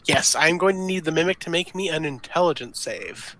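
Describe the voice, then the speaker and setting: A player in a tabletop roleplaying game, chatting informally and thinking aloud in a halting way while describing moves. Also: medium pitch, expressive, fairly bright tone. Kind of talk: casual game chat.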